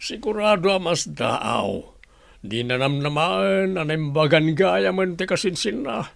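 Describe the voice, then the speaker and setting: Man acting a high, creaky Ilocano villager. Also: animated, measured pace, dry recording, exaggerated voice. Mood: earnest. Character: male member of a village family household. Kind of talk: Ilocano comedy-drama radio acting.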